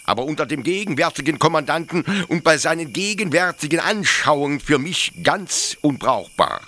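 An actor playing a fervent, nostalgic officer in a radio drama, theatrical, moving between hushed confiding and exalted declaiming. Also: male voice, a little high, lively intonation, normal speed. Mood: bitter, confiding. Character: fervent, nostalgic male officer devoted to brutal old justice.

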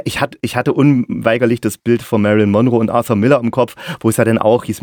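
A man's voice: fairly low pitch, a little mellow, no room sound, studio quality. A man hosting a casual interview, speaking in an enthusiastic and reassuring way. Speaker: interviewer, podcast host.

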